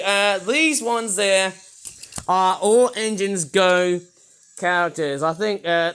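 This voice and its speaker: A British man with a croaky voice, casually reviewing toys in a rambling, enthusiastic way with lots of hesitations. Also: high voice, a bit bright. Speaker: toy reviewer.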